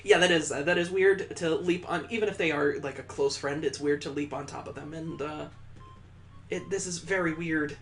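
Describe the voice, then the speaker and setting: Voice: medium pitch, moderate pace, fairly bright tone, faint music behind. A gaming host giving playful, incredulous commentary with some swearing while reading a visual novel aloud.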